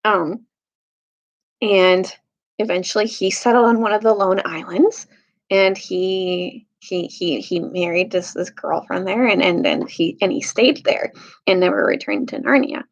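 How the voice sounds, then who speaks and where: A woman leading a casual storytime as a teacher, speaking haltingly, searching for her words and correcting herself. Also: mid-range voice. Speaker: storytime teacher reading aloud. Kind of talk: casual recap and storybook read-aloud. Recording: dull lo-fi recording.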